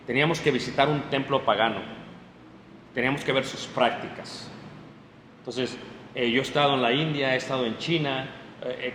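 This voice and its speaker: Spanish-speaking man preaching in an echoey hall. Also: medium pitch. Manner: animated, addresses the congregation directly with frequent checks. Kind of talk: sermon, Bible class. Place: church hall. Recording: echoey hall, faint room noise.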